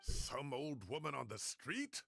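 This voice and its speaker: An actor playing a fussy, grumbling older professor in a video game, indignant and blustering. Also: male voice, medium pitch, sing-song, measured pace, creaky, a bit bright, slightly rough, soft background music, heavily acted. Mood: indignant, flustered.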